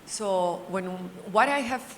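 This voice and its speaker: A middle-aged woman with a Cuban Spanish accent speaks on a panel in a long, ringing hall. There is some faint noise around her. She tells things in an animated, conversational way, at a middling pitch. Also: slow pace. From Cuba. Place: large indoor hall at a summit.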